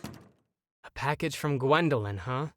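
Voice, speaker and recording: A man's voice: mid-range voice, slow pace, slight background noise, close to an everyday voice. A man playing an anxious, bookish young prince in a dramatic fandub, with a hesitant, overwrought delivery. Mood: pensive. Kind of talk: dramatic fandub acting with inner-monologue narration.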